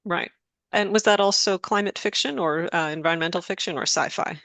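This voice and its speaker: An interviewer with a gravelly, creaky voice poses long, carefully worded questions. The sound is dry and close, with no room around it. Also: medium pitch, bright, forceful tone, dry recording. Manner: measured, polite, asks long questions.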